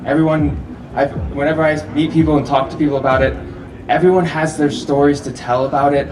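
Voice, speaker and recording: Man, middle pitch, easygoing festival speaker who quizzes the crowd, far from the mic in a noisy, echoey room. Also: brisk. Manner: relaxed, chatty, laughs often, asks the audience questions. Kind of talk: live public talk to an audience. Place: festival venue with a live audience. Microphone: handheld or stand microphone, often held far off. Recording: roomy echo, distant mic, audible hall noise.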